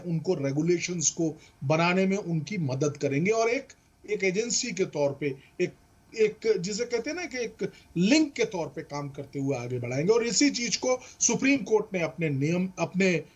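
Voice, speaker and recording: A man's voice: on the high side, slightly rough. A man, explaining legal points emphatically as a panelist and mixing in English terms. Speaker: legal expert panelist on a debate show.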